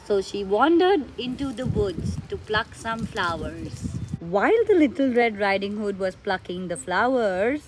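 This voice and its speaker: A woman's voice: mid-range voice, animated, a little slow, hardly any pauses, slight background noise. A woman narrating a children's story from a script for a puppet show. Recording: echoey room with faint music.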